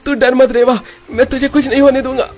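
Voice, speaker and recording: A man's voice: extremely high pitch, flat delivery, a little fast, darkish tone, voice pushed up. A man voicing a young village husband, urgent, protective and pleading, in a melodramatic story style. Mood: desperate, pleading. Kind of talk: melodramatic Hindi audio story acting.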